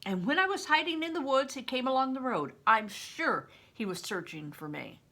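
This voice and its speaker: Older woman as anxious boy, animated pitch. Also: normal speed, full character voice. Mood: worried. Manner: worried, hesitant, protesting. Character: anxious, self-doubting peasant boy.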